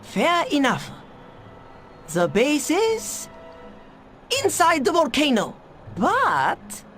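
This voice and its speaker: An eccentric, clever scientist for a video game, with a Japanese accent. The delivery is stilted and proud, yet very animated in pitch. Faint music sits behind it. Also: female voice, very slow, exaggerated voice. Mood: dry. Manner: stilted formal phrasing with dropped articles. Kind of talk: video game cutscene voice acting.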